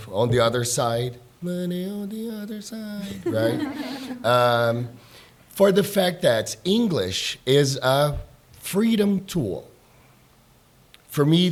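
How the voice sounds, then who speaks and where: Brazilian man, easygoing English teacher. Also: medium pitch. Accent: Brazilian Portuguese. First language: Portuguese. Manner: relaxed, persuasive, peppered with "you know". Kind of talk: casual studio interview. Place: radio studio.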